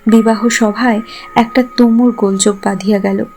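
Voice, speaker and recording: A woman's voice: medium pitch, steady tone, normal speed, darkish tone, soft background music. A woman reading a scripted literary story as its narrator. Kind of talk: scripted literary narration in formal Bengali prose.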